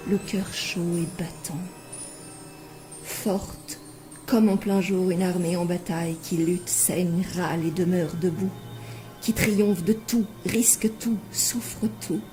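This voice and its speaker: A woman reciting poetry expressively in a breathy voice that often drops near a whisper, with deliberate dramatic phrasing. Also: medium pitch, mellow. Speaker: poetry reader. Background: music.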